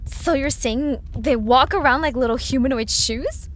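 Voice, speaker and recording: A woman's voice: high-pitched, normal speed, natural voice. A woman playing a skeptical, anxious young woman in an audio drama, questioning everything in an emphatic, incredulous way.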